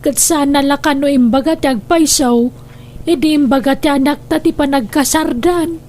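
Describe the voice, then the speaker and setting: A woman playing a concerned middle-aged mother, measured and sorrowful as she explains, in radio drama style. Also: high-pitched, a little mellow, a little hiss or hum, natural voice. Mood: worried, sorrowful. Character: middle-aged mother, concerned wife relaying family troubles.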